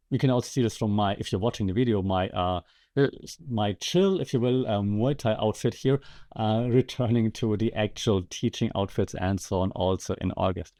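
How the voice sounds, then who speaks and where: A man with a relaxed, mellow voice talks through commentary as a podcast host. His delivery is casual and conversational, with wry, sarcastic asides. His pitch sits in an ordinary middle range for a man. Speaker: podcast host and media lecturer.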